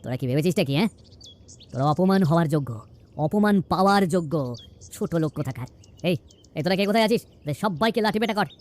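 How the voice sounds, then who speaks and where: A woman's voice: fairly low pitch, lively intonation, fast, darkish tone, close to an everyday voice. A woman with a West Bengal accent voicing an arrogant, bullying rich man in a cartoon village, sneering and scornful. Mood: angry, threatening.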